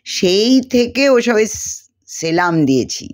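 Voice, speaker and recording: A woman's voice: mid-range voice, sing-song, slow. Woman playing an offhand, flippant young guy. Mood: jokey, dismissive. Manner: colloquial, offhand. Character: flippant, carefree young male student, slangy and dismissive.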